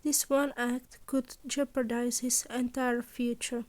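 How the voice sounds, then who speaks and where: A woman's voice: fairly high pitch, little pitch movement, slow pace. A woman reading scripted fiction as the narrator of an amateur audio story. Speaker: podfic narrator.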